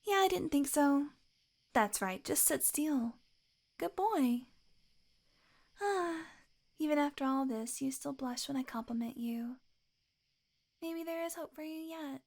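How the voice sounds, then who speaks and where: Casting: actress as possessive fantasy healer for audio roleplay. Female, high voice, condescending and coaxing, sweet with menace underneath. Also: a little slow, pauses often, a little mellow. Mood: teasing, smug, then bossy, brisk. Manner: condescending, teasing, coaxing, with sighs and chuckles.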